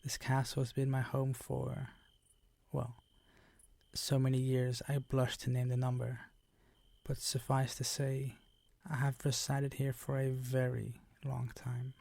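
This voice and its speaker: A man voicing a suave, predatory vampire count in an intimate ASMR roleplay, speaking formally with drawn-out, condescending, teasing courtesy. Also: mid-range voice, completely flat delivery, gravelly, darkish tone. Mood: flattering, teasing.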